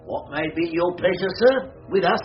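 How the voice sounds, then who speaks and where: A man playing a gentle, patient Victorian working-class husband, soothing and hesitant, in old-time radio drama style. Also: high-pitched, lively intonation, moderate pace. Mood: alarmed concern. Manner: soothing, hesitant, mildly questioning. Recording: muffled, noisy old radio drama recording.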